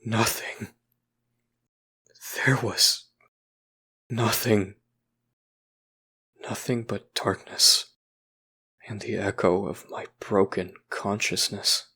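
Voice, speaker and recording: An actor playing a brooding, guilt-ridden young person recounting painful memories in a somber, halting audio drama monologue. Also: male voice, lowish voice, fairly even intonation, sharp tone, slightly rough, exaggerated voice. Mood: haunted, somber.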